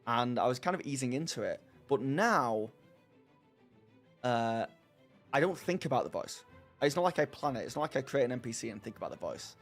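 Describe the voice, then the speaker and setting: Male streamer, mid-pitched, chatty and self-mocking, faint music underneath. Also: very animated, fairly quick. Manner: rambling, self-deprecating, laughs often. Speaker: streamer hosting a live chat. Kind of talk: casual live-stream chat, answering viewers.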